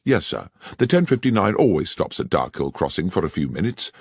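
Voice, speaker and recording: A man's voice: low voice, sing-song, fairly quick, slightly rough, no room sound, studio quality, exaggerated voice. A man reading aloud, voicing a respectful, matter-of-fact railway station master. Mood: dutiful. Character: middle-aged male railway station master, deferential.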